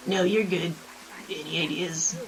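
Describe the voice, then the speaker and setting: A woman's voice: on the low side, steady tone, a little slow, a crowd in the background, lightly acted, poor audio. A woman voicing a brash, wisecracking 1920s bandit who talks in tough-guy slang, in an audio drama. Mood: scheming.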